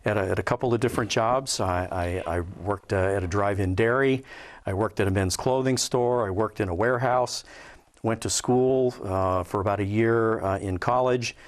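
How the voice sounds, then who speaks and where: An American man in his fifties from Southern California, recalling his life conversationally in an interview, hesitant and measured with frequent pauses. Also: on the low side. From Southern California, USA. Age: 50s. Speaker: interview guest, Air Force veteran. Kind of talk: conversational personal recollection.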